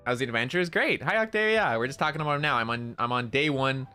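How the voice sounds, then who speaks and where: Young male streamer, nasal, loud and slangy, bright edge. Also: mid-range voice, quick pace, clear, resonant tone, faint music behind. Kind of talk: casual stream chat.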